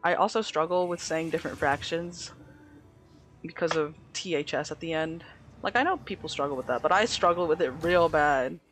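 A woman game streamer with a slight lisp, talking casually as she plays. She chats in a playful, easy way and addresses her viewers directly. Faint game music runs quietly in the background. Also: sits low, normal speed. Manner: chatty, playful, talks to chat. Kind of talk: casual gameplay commentary.

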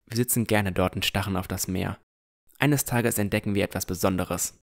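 Male narrator, fairly low voice, scripted first-person fantasy storytelling. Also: fairly even intonation, normal speed, gravelly.

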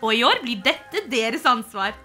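En kvinne som spiller en pliktoppfyllende voksen hekselærer i en animert tegneseriedubbing. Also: female voice, a little high, big pitch swings, pushed and bright. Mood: explanatory. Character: dutiful adult witch teacher. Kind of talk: animated cartoon fandub. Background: music.

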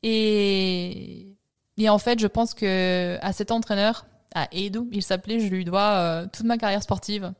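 A woman in her thirties telling her own story, pausing often with fillers and restarting her phrases. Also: medium pitch, steady tone, clean tone. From Italy and France. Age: 30s. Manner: hesitant, many fillers and restarts. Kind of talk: interview monologue. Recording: soft music underneath.

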